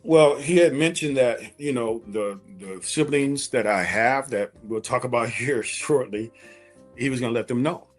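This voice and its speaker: A man's voice: medium pitch, unhurried, soft background music. A man in his forties speaking as an interview guest, candidly telling a personal story in a conversational way and repeating phrases for emphasis.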